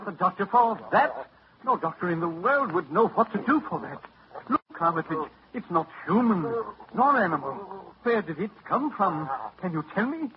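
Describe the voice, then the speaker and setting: Terrified academic, high and swooping, hissy old radio broadcast. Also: male voice, lively intonation, normal speed, highs cut off. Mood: horrified. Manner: frantic, shrill. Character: panicked middle-aged male professor. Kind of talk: 1940s radio acting.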